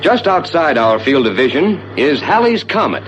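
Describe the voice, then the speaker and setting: An actor playing a calm, reassuring rocket ship captain who explains the flight to passengers in measured, courteous 1950s ride narration. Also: male voice, on the high side, dull audio. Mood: composed, instructive. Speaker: spaceship captain and tour narrator. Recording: noisy vintage 1950s ride soundtrack.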